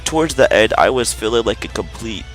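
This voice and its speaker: A young man talks through a fighting game review in loose, slangy gamer chat, and he sounds a little stuffed up and nasal from a cold. His voice sits low and deep. Faint music plays softly underneath. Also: brisk. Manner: energetic, slangy gamer talk. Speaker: gaming YouTuber. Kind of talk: casual video game review.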